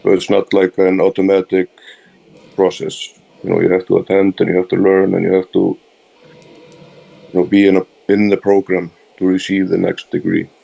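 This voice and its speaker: A man's voice: deep voice, monotone, normal speed, gravelly, mellow, low-quality recording. A man from Iceland with an Icelandic accent, giving plain, hesitant answers as an interview guest. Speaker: interview guest, fraternity member.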